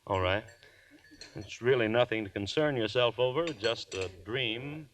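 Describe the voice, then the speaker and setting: A man's voice: medium pitch, unhurried, a bit bright, natural voice. Actor as tense, haunted man, 1940s radio horror. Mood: forced cheer. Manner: melodramatic, brittle nervous laughter and stammered repeats. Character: tormented university man haunted by voices, brittle and anxious. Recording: vintage 1940s radio recording.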